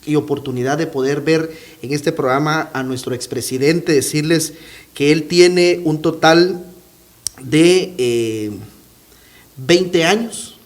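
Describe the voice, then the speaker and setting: Tv host guy, kinda high voice, polite formal questions, lots of "eh". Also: slow. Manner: polite, formal, hesitant with "eh" fillers. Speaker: TV interviewer, host. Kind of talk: formal TV interview.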